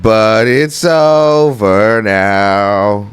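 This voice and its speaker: Deep-voiced, congested man reacting to football. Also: extremely slow, a little hiss or hum. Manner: blunt, excitable, swears freely. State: congested, nasal from sinus infection; sore throat. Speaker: football reaction host and coach. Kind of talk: casual reaction commentary.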